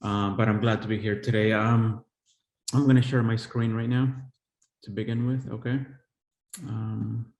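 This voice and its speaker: Guy in his 40s from el salvador talking about his art, hesitant and halting, lowish voice, roomy echo. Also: little pitch movement, darkish tone. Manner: hesitant, halting, full of pauses and restarts. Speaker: visual artist giving a talk. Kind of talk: live-streamed artist presentation. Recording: echoey room.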